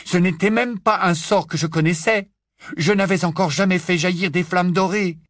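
A man's voice: high voice, steady tone, moderate pace, a bit bright, no room sound, pitched above the performer's own voice. A tense, stubborn teenage boy, as a male reader puts him on, his voice raised a little above the narrator's and pushed hard. He sounds clipped and insistent, recorded dry and close.

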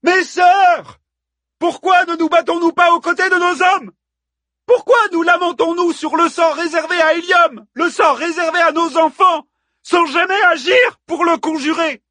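A man's voice: very high-pitched, steady tone, unhurried, sharp tone, no echo, voice pushed up, exaggerated voice. A man voicing a fiery, robust Trojan woman who harangues a crowd with impassioned, bellowing oratory in an epic audiobook. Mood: rousing, indignant. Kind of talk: audiobook character voice, epic fiction.

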